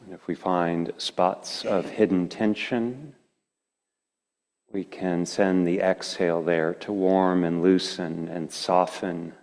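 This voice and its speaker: A man leading a guided meditation as a teacher, speaking in gentle, slow phrases with long pauses. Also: low voice, steady tone. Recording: reverberant hall.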